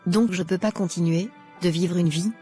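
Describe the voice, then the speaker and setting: A woman playing a discontented, regretful but resolute young rich girl in an animated drama. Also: fairly low pitch, moderate pace, faint music behind, close to an everyday voice. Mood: complaining.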